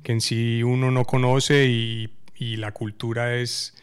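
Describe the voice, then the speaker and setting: A man from Medellín with a Colombian accent, speaking calmly and thoughtfully as an interview guest. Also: mid-range voice, slow, smooth. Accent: Colombian Spanish from Medellín. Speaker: guest, amateur cyclist.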